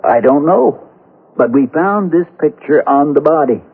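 A man's voice: on the high side, animated, measured pace, breathy edge, full character voice. Dogged Western lawman, tinny radio sound. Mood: firm. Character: dogged, plain-spoken Western lawman narrating his case. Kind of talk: old-time radio drama narration and dialogue. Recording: tinny old radio broadcast, music behind.

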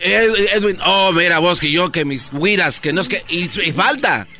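A man's voice: high voice, moderate pace, pushed and bright, faint music behind. Gossipy heckling male co-host. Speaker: radio co-host. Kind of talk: comic radio banter.

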